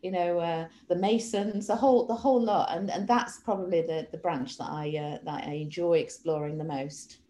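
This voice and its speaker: A woman's voice: lowish voice, steady tone, moderate pace, hardly any pauses, mellow. A middle-aged woman from Manchester with an English accent, talking casually and discursively as an interview guest, with enthusiasm for what she researches. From Manchester, England. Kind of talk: casual conversational storytelling.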